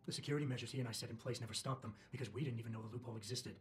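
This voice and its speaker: Man acting a fast, terse security chief. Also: mid-range voice, slight background noise, lightly acted. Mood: suspicious, probing. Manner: deadpan, clipped. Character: terse, guarded augmented male corporate security chief. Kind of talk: video game cutscene acting.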